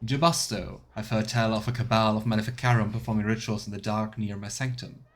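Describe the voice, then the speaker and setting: Man doing chatty, playful game commentary. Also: on the low side. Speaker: streamer playing a fantasy role-playing game. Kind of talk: let's play commentary, reading quest text aloud.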